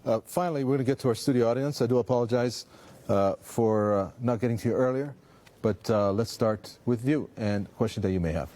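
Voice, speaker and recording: A man moderating the discussion, brisk and courteous as he hands over to the audience. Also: mid-range voice, warm tone.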